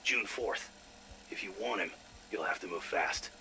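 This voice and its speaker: Irritable low-voiced scheming scientist, noisy recording. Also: male voice, a little slow, sharp tone, heavily acted. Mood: irritated. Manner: sarcastic, exasperated, profane. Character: foul-mouthed, irritable, scheming male scientist doctor. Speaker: voice actor in a game audio log. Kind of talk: dramatic game audio log acting.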